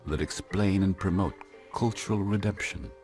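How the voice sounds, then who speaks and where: A man giving measured, deliberate scripted documentary narration. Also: deep voice. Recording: narration over clear background music. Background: instrumental music.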